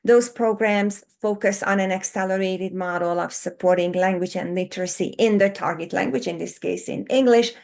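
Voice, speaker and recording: A woman whose first language is Hungarian talks as a guest on an education interview. She is animated and expressive, stretching key words and rephrasing as she thinks. Her pitch sits in the middle of the usual range. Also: moderate pace, creaky, hardly any pauses. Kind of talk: conversational podcast interview.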